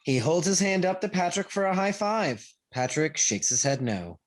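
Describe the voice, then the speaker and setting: Someone reading stage directions for a play, mid pitch, pretty lively. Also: moderate pace. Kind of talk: scripted narration at a play table read. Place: remote video call reading.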